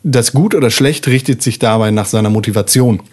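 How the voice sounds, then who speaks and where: A man's voice: mid-range voice, a little fast, darkish tone, husky. Man moderating a tabletop role-playing game. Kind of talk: explaining rules and casually narrating a tabletop role-playing game.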